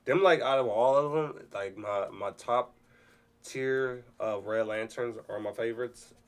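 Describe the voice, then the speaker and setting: A man hosting a read-along, giving informal off-the-cuff commentary in a halting, self-correcting way. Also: medium pitch, clear, resonant tone. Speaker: host of a read-along reaction. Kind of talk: casual unscripted commentary.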